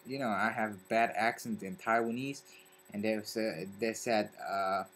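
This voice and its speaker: A young man from Belgium with a French accent, talking casually to camera in a halting way and searching for his words. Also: on the low side, steady tone, fairly bright tone. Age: young adult. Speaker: vlogger. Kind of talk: casual vlog talk to camera.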